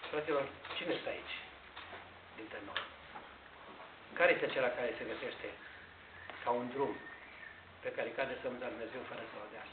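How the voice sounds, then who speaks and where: An older man, a priest, preaching a sermon in a measured, explanatory way with pauses and restarts, recorded by a far camera inside a large church. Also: medium pitch. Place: large Orthodox church interior, indoors. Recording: tinny, echoey church recording with hiss.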